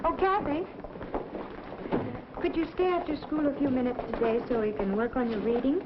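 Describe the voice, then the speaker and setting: A high, patient American schoolteacher acted by a woman addresses pupils in clear, instructive tones. It has the style of a 1950s educational film, with the muffled sound of an old soundtrack. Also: expressive, moderate pace, background noise. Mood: kindly, organizing. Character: dedicated, patient woman elementary school teacher. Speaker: actor playing a schoolteacher. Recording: dull vintage film soundtrack.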